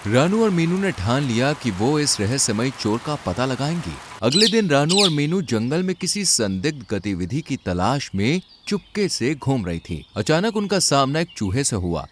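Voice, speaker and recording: A man's voice: mid-range voice, sing-song, moderate pace, few pauses, a little hiss or hum. A man narrating a scripted children's story.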